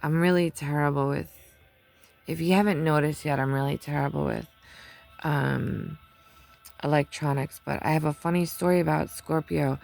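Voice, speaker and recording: A woman's voice: low voice, vocal fry, a little mellow. A woman past her twenties giving a casual monthly tarot reading, chatty and rambling, laughing often as she tells stories. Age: 30s or older. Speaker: tarot reader.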